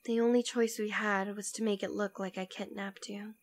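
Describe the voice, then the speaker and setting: A woman's voice: medium pitch, little pitch movement, normal speed, close to an everyday voice. A woman playing a devoted, teasing demon queen in an ASMR roleplay, speaking intimately and tenderly, often dropping toward a whisper. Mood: tender, defiant. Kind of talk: ASMR roleplay audio drama.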